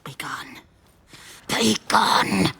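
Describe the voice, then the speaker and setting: An actress playing a stern, wary, addled old peasant granny in a video game, rambling and scolding in rustic speech. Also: female voice, medium pitch, animated, extremely slow, creaky, sharp tone, husky, dry recording, full character voice. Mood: dismissive. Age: older.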